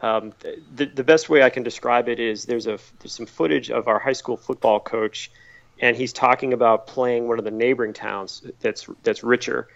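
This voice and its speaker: A middle-aged man from Massachusetts, a podcast guest telling stories at a relaxed pace and often repeating words as he thinks. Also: mid-range voice, moderate pace, vocal fry, highs cut off. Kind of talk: conversational interview answers.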